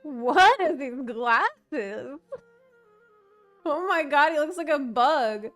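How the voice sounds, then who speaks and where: A woman's voice: sits high, lively intonation, slow, a bit bright, soft background music. A woman game streamer giving casual live reactions in an informal, slangy, exclamatory way.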